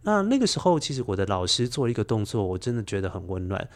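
A man's voice: lowish voice, big pitch swings, fast. A middle-aged man with a Taiwanese accent, a storyteller speaking as an interview guest and sharing personal anecdotes in conversation.

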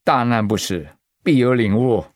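Radio drama best buddy: actor as encouraging man, familiar and upbeat, average pitch, dry studio sound. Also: moderate pace, dry recording, close to an everyday voice. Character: supportive, brotherly male friend.

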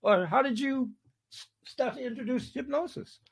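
A man's voice: extremely high pitch, moderate pace, creaky, breathy edge. A man hosting an interview, talking in a rambling, apologetic way while losing his voice. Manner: rambling, apologetic, frequent "correct" and "right" interjections. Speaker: interview host. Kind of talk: conversational interview.

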